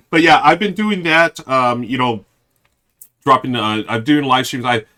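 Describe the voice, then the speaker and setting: A man's voice: mid-range voice, a little slow, sharp tone. A man co-hosting a board game live stream, rambling casually and talking to viewers as folks, his voice a bit unsteady with a cough drop in. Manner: rambling, restarts often, addresses viewers as folks. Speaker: co-host of a board game show.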